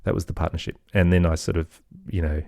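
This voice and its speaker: A man's voice: deep voice, steady tone, fast talker, gravelly, a little mellow, no echo, studio quality. A middle-aged man talking casually as an interview guest, in a hesitant, self-effacing, rambling way. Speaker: podcast guest, audio storyteller and producer.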